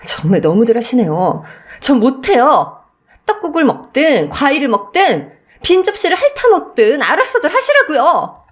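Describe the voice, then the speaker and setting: A woman's voice: sits high, big pitch swings, moderate pace, pitched above the performer's own voice. A woman voicing a wronged daughter-in-law, emotional and desperate, in a radio-style story drama. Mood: defiant. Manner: emotional, imploring.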